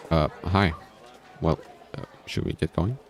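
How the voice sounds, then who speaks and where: A man voicing an evasive, slightly sarcastic male high school student in a visual novel. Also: measured pace, some chatter behind. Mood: awkward. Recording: background music.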